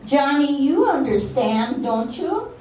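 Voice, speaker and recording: An amateur actress playing an ambitious, self-centred dancer with a coaxing, dramatic manner in a radio drama. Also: female voice, high-pitched, big pitch swings, takes it slowly, warm tone, exaggerated voice. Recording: very echoey hall, distant mic, faint noise.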